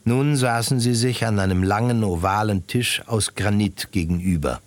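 A man's voice: on the low side, normal speed. A man narrating a scripted story in literary prose for a radio drama.

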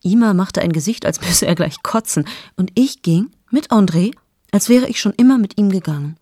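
A woman's voice: medium pitch, lively intonation, moderate pace, dark tone, no echo, clean studio sound, lightly acted. An actress in her thirties narrating a radio play monologue as a restless female journalist, with intimate, confessional storytelling that often drops to a whisper. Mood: brooding. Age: 30s.

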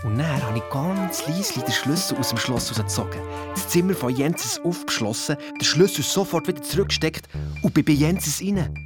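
A performer playing an excited boy detective with a Swiss German accent, telling a story colloquially and dramatically in a kids' radio play. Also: mid-range voice, normal speed, music in the background, lightly acted. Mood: eager, conspiratorial. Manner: breathless storytelling, colloquial, dramatic sound imitations. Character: excited boy detective recounting his sneaky adventure.

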